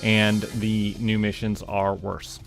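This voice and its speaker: A Canadian man talks in a fairly low voice at a very unhurried pace, with music playing behind him. He sounds like a game streamer musing to himself and thinking out loud as he plays. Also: level tone, vocal fry. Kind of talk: casual solo gameplay commentary, thinking aloud.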